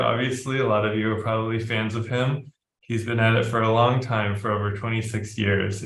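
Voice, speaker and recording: A man introducing a guest speaker and going over the agenda of a webinar, relaxed and full of fillers. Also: on the low side, vocal fry, reverberant. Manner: relaxed, filler-heavy talk. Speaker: webinar host. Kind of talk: casual introduction.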